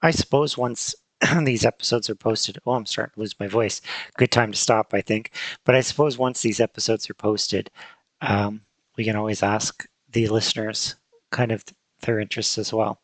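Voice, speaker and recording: A man hosting a podcast in casual chat, speaking thoughtfully and hesitantly with many pauses and fillers. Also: lowish voice, steady tone, no echo. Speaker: podcast host.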